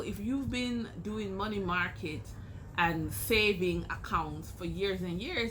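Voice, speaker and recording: A woman's voice: lowish voice, a bit bright, slight background noise, rough recording. A woman giving explanatory financial-coach style advice with emphatic phrasing. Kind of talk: scripted educational advice segment.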